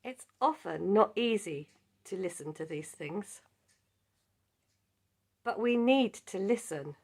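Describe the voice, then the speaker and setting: A woman leads a Bible study at an unhurried pace, her voice a little croaky. She speaks in a measured, earnest way, reading like a prepared lesson. Her pitch sits in an ordinary middle range. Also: expressive, darkish tone.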